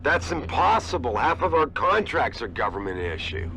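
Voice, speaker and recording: A gravelly, bright-voiced male boss in a video game. He is commanding, agitated and emphatic, over a noisy background. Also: mid-range voice, very animated, normal speed. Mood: alarmed, commanding.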